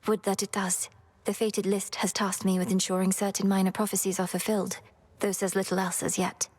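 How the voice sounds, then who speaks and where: A woman playing an earnest young underworld princess in a video game, speaking in a formal, measured way. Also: medium pitch, flat delivery, a little mellow, natural voice. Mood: solemn, determined.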